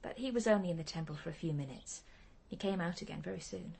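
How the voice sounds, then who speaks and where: A woman's voice: lowish voice, animated, quick pace, gravelly, darkish tone, breathy edge, heavily acted. An actress playing a careful, tentative woman eyewitness in a radio crime drama.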